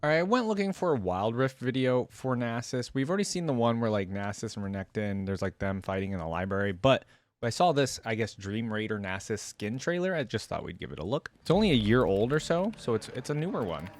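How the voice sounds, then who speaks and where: A man hosting a reaction video, giving informal, excitable commentary and thinking aloud as he goes. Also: mid-range voice, brisk, dry recording. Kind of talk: casual reaction commentary with some reading aloud.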